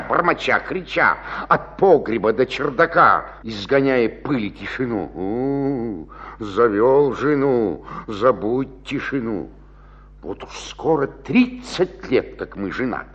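A man's voice: fairly low pitch, a bit bright, full character voice. An actor playing a merry, talkative middle-aged joiner who loves drink, in a theatrical radio-play monologue, savouring his words and chuckling. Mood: wry grumbling. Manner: savouring, chuckling, rhetorical, swinging from murmurs to exclamations. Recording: dull lo-fi 1960s radio recording.

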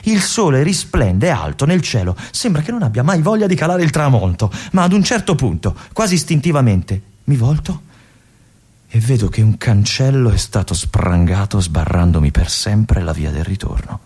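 A weary old-guard lieutenant, husky voice, pitch wandering widely, wistful radio-stage monologue. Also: male voice, very animated. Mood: wistful, reflective. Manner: reflective, with long pauses and soft whispered asides. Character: aging male army lieutenant, lonely, wistful, resigned. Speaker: stage actor.

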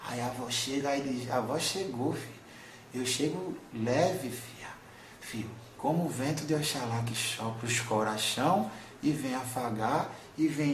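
Man voicing an old grandmother spirit, tender, wise, soothing, mid pitch, echoey room, faint hiss. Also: close to an everyday voice. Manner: soothing, keeps calling the listener "son". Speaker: spirit medium. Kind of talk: spiritual counselling session.

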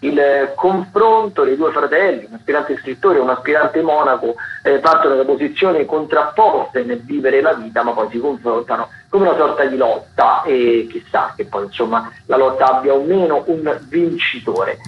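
A man hosts an informal radio arts rundown at a middling pitch. He speaks haltingly, correcting himself and dropping in chatty asides. The sound is roomy and slightly dull, like a lo-fi broadcast. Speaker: radio host presenting theatre listings.

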